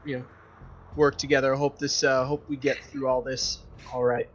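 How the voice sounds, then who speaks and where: A man roleplaying as a player in a tabletop game, improvising his lines haltingly. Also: medium pitch, level tone, muffled recording, slight background noise. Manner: hesitant, halting.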